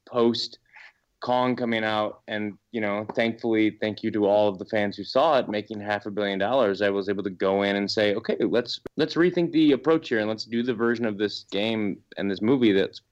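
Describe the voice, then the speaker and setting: A man's voice: lowish voice, normal speed, muffled recording. A man in a casual podcast discussion as a guest filmmaker, rambling with lots of "like" and speaking in an opinionated, emphatic, casually sweary way. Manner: rambling, hedging with frequent "like".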